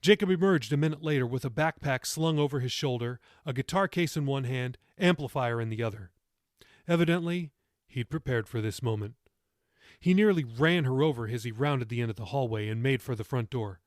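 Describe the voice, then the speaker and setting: A man's voice: mid-range voice, brisk, no room sound, clean studio sound. A man reading his own novel aloud as audiobook narration, with dramatic storytelling delivery.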